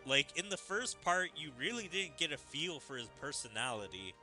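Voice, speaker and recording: A man's voice: on the high side, big pitch swings, normal speed, bright, forceful tone. A man streaming a video game, giving casual running commentary and speculating aloud as he plays. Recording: game music behind. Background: video game music.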